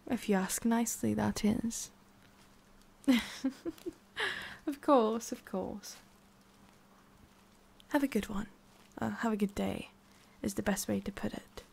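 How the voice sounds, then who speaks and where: A woman voicing a haughty, prickly witch with a hidden soft side in an ASMR roleplay, soft and often whispery with a creaky edge. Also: medium pitch, takes it slowly, long pauses, full character voice. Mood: softening, coy. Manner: soft, often whispery, breathy sighs, curt asides.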